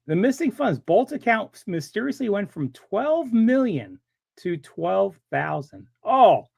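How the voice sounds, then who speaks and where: A man chatting casually on a stream, cracking jokes and reacting quickly. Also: high voice, sing-song, creaky, darkish tone, breathy edge. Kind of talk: casual chat.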